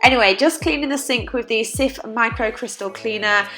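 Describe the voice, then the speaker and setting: A woman's voice: fairly high pitch, moderate pace, sharp tone, soft background music. A young woman with a stuffy, congested voice from a cold, chatting casually in a voice-over. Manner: chatty, with frequent "like" fillers. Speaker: cleaning vlogger.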